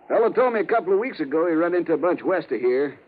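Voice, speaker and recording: An American actor playing a folksy, talkative buffalo hunter with rustic frontier speech in a 1950s radio western. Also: male voice, fairly high pitch, expressive, fast, lightly acted. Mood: chatty. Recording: tinny, noisy 1950s radio recording.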